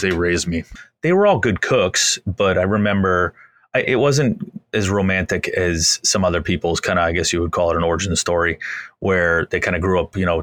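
A man, a chef guesting on a podcast, who rambles cheerfully and pokes fun at himself. His voice sits very low, and the recording is dry with no room sound. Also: fairly bright tone, no echo. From Massachusetts, US. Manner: chatty, rambling, easygoing with self-deprecating humor. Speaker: chef and restaurant owner, guest. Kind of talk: casual interview storytelling.

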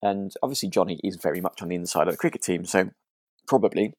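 Low-voiced man chatting in a dry room. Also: fast talker, darkish tone, dry recording. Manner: hesitant, rambling, tentative phrasing. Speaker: podcast co-host. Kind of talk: casual discussion of a radio drama.

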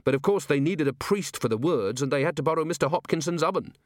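A man's voice: on the high side, lively intonation, fast, no echo, studio quality, voice pushed up, lightly acted. A man voicing an earnest, polite young police officer in an audiobook, deferential and sincere. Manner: deferential, sincere, literal-minded.

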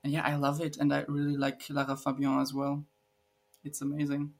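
A man co-hosting a casual podcast, relaxed, giving his opinion with frequent fillers. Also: fairly high pitch, brisk, creaky. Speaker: podcast co-host. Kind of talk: casual chat.

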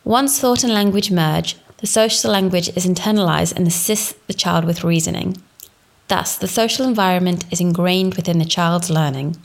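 A woman in her thirties from England, giving a scripted, formal narration for a teaching presentation in a measured way. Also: lowish voice, vocal fry. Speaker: education student presenting.

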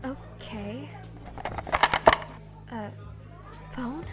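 A woman's voice: a little high, extremely slow, over loud music. A woman playing a guarded, evasive young mermaid in an audio drama, hesitant and defensive. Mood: apologetic. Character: guarded young female man-eating mermaid, evasive, prickly. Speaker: voice actor.

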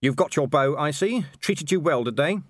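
A man's voice: on the high side, animated, moderate pace, dry recording, studio quality, pitched above the performer's own voice, lightly acted. A man playing a grim, middle-aged watch commander with a clipped, sarcastic, menacing way of talking, in a storybook reading. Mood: grimly determined.

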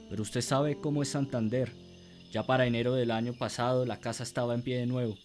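A man's voice: mid-range voice, a little mellow, lightly acted. A man voicing a weary, matter-of-fact independence-era military leader, conversational and serious, in a radio drama.

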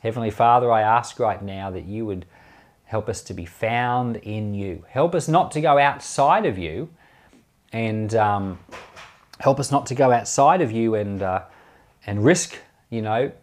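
A man's voice: on the low side, measured pace. A man speaking as a pastor, giving informal Bible commentary with many restarts and closing in earnest prayer.

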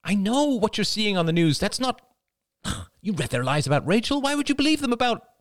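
Man voicing an anxious, high-pitched exasperated mother. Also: very animated, brisk, no room sound, studio quality. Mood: strained, exasperated. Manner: urgent, pleading, exasperated. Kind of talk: audiobook character voice.

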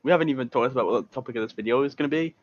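A man's voice: mid-range voice, rapid-fire, over a noisy crowd. A young British man co-hosting a casual chat with friends, jokey and rambling, sometimes slipping into silly voices. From Britain. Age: young adult. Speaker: podcast co-host. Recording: dull lo-fi sound.

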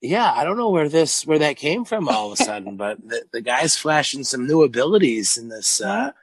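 Hoarse, raspy-voiced man co-hosting a podcast. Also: medium pitch, big pitch swings, moderate pace, no room sound. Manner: enthusiastic, rambling with fillers. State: hoarse after a busy weekend. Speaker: podcast co-host. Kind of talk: casual chat.

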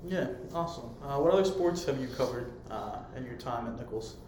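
A young man hosting a podcast interview and asking brisk, straightforward questions. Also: medium pitch, animated, darkish tone. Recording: echoey room, faint background hum.

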